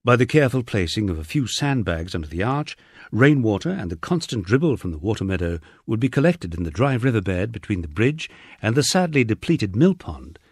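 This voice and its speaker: A man's voice: on the low side, breathy edge, no echo, studio quality. A man narrating a scripted first-person memoir as an audiobook, in a wry storytelling manner.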